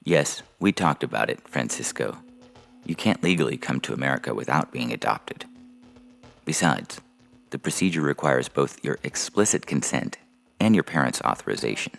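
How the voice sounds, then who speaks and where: A man playing a brisk, businesslike official in an audio fiction reading, formally running a procedure. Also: rumbling low, expressive, creaky, a bit bright, full character voice.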